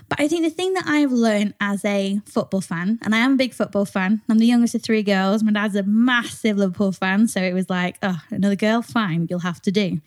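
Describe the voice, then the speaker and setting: A British woman from North Wales speaks as a podcast co-host. Her pitch is average for a woman, and her delivery is informal and conversational. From North Wales, near the English border. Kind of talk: casual conversational chat.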